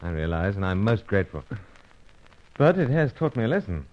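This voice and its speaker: Low-voiced, animated British agent, clipped and pipe-muffled; dull old radio drama recording. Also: male voice, fairly quick. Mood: suave. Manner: clipped upper-class British, puffing on pipe. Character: suave British secret agent, pipe-smoking. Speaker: narrator and lead. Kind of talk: 1950s radio drama acting.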